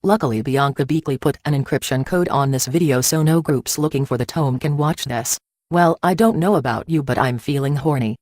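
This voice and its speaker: A woman's voice: rumbling low, fairly quick, a little mellow, dry recording, clean studio sound. A woman voicing a boastful female gargoyle warrior in a cartoon-style video, with a brash, teasing delivery.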